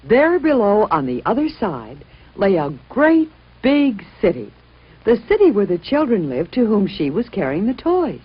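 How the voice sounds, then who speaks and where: A woman's voice: medium pitch, huge pitch swings, a little slow, breathy edge. A woman narrating a children's story expressively, as a storyteller. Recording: muffled, noisy old-sounding recording.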